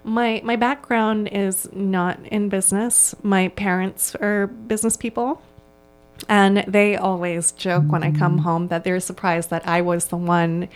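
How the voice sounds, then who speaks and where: A woman's voice: medium pitch, little pitch movement, unhurried, rough recording. A woman in her thirties from Hong Kong, a yoga studio owner answering interview questions with eager, earnest enthusiasm and a few ums and restarts.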